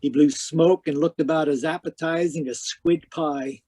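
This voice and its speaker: An actor playing a cynical, wry private eye in terse, slangy 1940s radio detective style. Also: male voice, fairly high pitch, steady tone, moderate pace, a little mellow, husky. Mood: wry, world-weary. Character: cynical wisecracking male hardboiled private eye.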